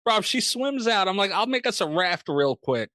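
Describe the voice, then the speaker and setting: Animated man in casual, foul-mouthed podcast banter. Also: high voice, normal speed, no echo, studio quality. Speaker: podcast host.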